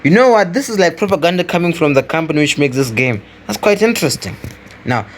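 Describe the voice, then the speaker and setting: Higher-voiced man commentating over noisy audio. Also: expressive, fast. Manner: repeats phrases and talks to the viewers. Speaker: gaming video host. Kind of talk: casual gameplay commentary, thinking aloud. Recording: clearly noisy home recording.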